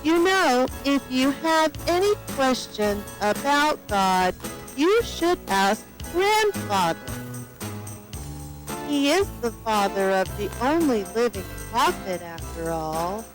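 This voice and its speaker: Woman voicing a gentle, lilting storybook mother. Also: fairly high pitch, very animated, slow pace, over music, rough recording. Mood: reassuring. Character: gentle mother advising her little girl. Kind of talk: children's storybook dialogue.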